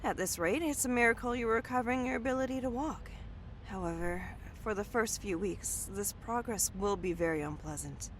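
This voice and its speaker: A woman playing a caring but firm doctor in an audio drama, speaking formally and gently. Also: medium pitch, normal speed, a little hiss or hum, natural voice. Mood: courteous.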